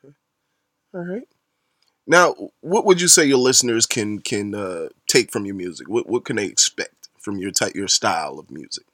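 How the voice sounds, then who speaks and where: A man's voice: on the low side, expressive, normal speed, a bit bright, no echo, studio quality. A man hosting a hip-hop radio interview, talking in laid-back slang with lots of fillers and hype. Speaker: radio show host.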